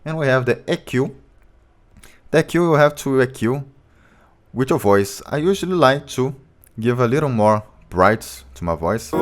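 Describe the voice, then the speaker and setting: A man with a low voice, a music producer walking through his vocal chain step by step in a plain, informal tutorial. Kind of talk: tutorial walkthrough.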